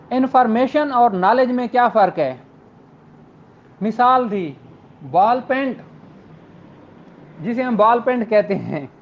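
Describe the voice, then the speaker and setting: A man's voice: high-pitched, muffled recording. A man with a Pakistani accent lecturing law students in an earnest, rhetorical way, repeating phrases for emphasis.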